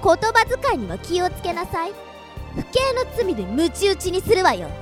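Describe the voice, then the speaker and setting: A woman's voice: very high voice, huge pitch swings, normal speed, soft background music, full character voice. A woman playing a haughty young demon-race girl in an anime-style radio drama, scolding and imperious. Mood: imperious, exasperated.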